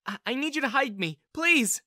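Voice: mid-range voice, sing-song, moderate pace, sharp tone, dry recording, clean studio sound, pitched above the performer's own voice. A narrator playing an urgent, determined female spy. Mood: desperate, pleading. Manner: pleading, urgent, resolute. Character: earnest, determined female Russian spy seeking shelter. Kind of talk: audiobook-style character dialogue.

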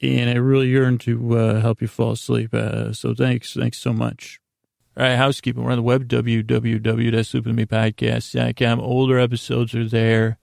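A man with a noticeably creaky, mid-pitched voice hosts a bedtime podcast in a close, dry recording. He rambles soothingly, pausing and doubling back on his words as he meanders along to lull listeners to sleep. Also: level tone, a little mellow, no echo. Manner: meandering, halting, with pauses and repeated words; soothing, lulling. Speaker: podcast host.

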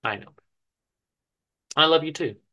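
Man playing a fond, downcast husband, hesitant, polite, bright voice, storybook reading. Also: a little high, a little slow, pitched above the performer's own voice. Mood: subdued, fond. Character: downcast, polite British husband, affectionate writer.

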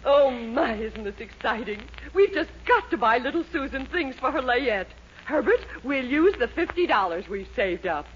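Actress playing a bossy, swooping theatrical matron. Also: female voice, on the high side, expressive, normal speed, natural voice. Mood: excited. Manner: theatrical, imperious. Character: bossy, excitable middle-aged wife and mother. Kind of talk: 1940s radio comedy acting. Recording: dull old radio recording, faint noise.

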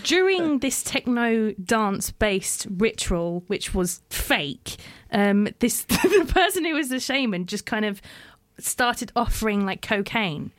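A woman tells an amused anecdote as a podcast co-host. Her voice is fairly high for a woman. It is recorded close and dry, with no room sound. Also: sing-song, takes it slowly, hardly any pauses, dry recording. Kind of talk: casual storytelling.

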